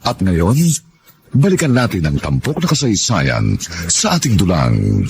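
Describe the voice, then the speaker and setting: A solemn male announcer introduces a radio drama in a deep, gravelly voice. He draws out titles theatrically, with sweeping intonation over music. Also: huge pitch swings, a little mellow, exaggerated voice. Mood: solemn, dramatic. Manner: theatrical, drawn-out title delivery. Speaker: programme announcer.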